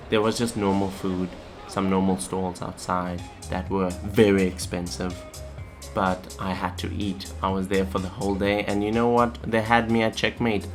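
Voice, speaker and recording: A man talking casually as a vlogger, in a chatty, plain-spoken way. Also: sits low. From South Africa. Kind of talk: casual vlog talk and voice-over narration. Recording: clearly noisy, ambient background sound.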